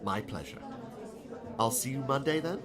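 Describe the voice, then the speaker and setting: An actor playing a kindly, encouraging novelist in an audiobook drama, speaking in a measured, reflective way. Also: male voice, mid-range voice, lively intonation, a crowd in the background, lightly acted. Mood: warm farewell. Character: kindly male novelist, thoughtful and gently encouraging.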